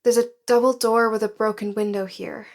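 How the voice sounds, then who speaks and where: An actress playing a frightened, awed woman explorer in an audio drama, describing her surroundings in hushed, halting phrases. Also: fairly high pitch, steady tone, lightly acted. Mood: awed, cautious. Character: frightened woman explorer guided over radio, awed and anxious.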